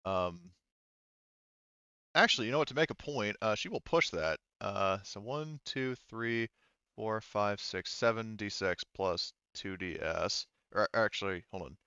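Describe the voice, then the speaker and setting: A man running a tabletop role-playing game as game master, casually and haltingly thinking aloud through rules and dice. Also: lowish voice, sharp tone, smooth, dry recording, dull audio.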